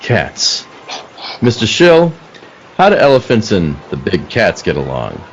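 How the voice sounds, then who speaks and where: A man playing a brisk, commanding police detective hero in an old-time radio play. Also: on the low side, wildly swooping pitch, dull audio, faint music behind, full character voice. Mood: brisk, investigating.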